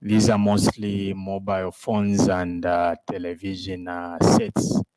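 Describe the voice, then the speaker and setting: A man gives a formal statement as a spokesperson, in a halting, measured way. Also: deep voice, monotone, mellow, no room sound, low-quality recording. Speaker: commission spokesperson.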